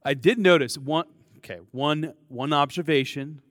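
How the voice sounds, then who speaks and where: Casual American preacher with a dry sound. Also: male voice, fairly high pitch, normal speed, a bit bright, no echo. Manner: conversational, self-deprecating, frequent fillers and restarts. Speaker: pastor. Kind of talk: sermon.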